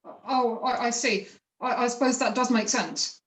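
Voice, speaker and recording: A woman playing a strict yet humble peasant farmer parent, measured and polite, in a play reading. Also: fairly high pitch, close to an everyday voice. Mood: nervous. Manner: measured, deferential, hesitant. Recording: roomy echo, distant mic.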